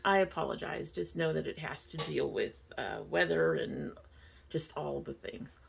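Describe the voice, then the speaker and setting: A woman's voice: deep voice, lively intonation, unhurried, creaky, slight background noise. A woman hosting her own channel in a chatty, informal way, sounding stuffy and sniffly from a sinus cold. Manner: chatty and informal, apologetic asides. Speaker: host. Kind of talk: casual talk-through while doing makeup.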